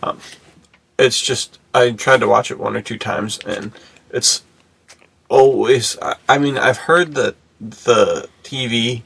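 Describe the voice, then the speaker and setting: A man's voice: mid-range voice, slow, creaky, a bit bright, husky. A man co-hosting a podcast in casual, slangy, enthusiastic bro talk. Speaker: podcast host. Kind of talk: casual chat.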